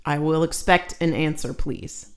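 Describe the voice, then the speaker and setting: A woman's voice: low-pitched, a little slow. A woman co-hosting a casual live stream, reading out viewer comments and bantering bluntly with her co-host. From United States.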